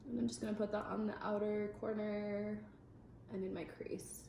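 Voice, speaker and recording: A woman's voice: mid-range voice, little pitch movement, moderate pace, vocal fry, mellow. A young adult woman chatting in an upbeat way as she explains her makeup steps. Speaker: makeup vlogger. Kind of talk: casual makeup tutorial chat. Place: indoor room at a makeup table. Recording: echoey room with faint noise.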